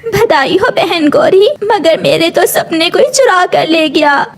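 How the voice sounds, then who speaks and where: A woman's voice: very high-pitched, expressive, takes it slowly, a little hiss or hum, full character voice. A woman voicing an earnest, tender cartoon mother bird who pleads plaintively for her child. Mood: sad. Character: kind, hardworking mother bird, tearful over stolen savings. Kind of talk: Hindi moral-story cartoon acting.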